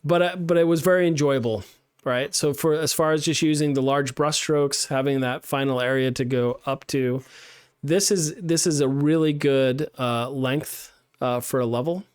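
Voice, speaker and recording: A game design instructor reviewing a student level on a live stream, thinking aloud with many fillers and restarts while his voice is getting hoarse. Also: medium pitch, fairly even intonation, moderate pace, no room sound, studio quality. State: losing his voice. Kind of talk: live-streamed playtest feedback.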